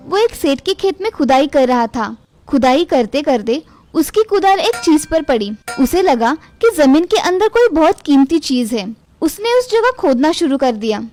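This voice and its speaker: High-pitched woman narrating a children's story. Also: a little mellow.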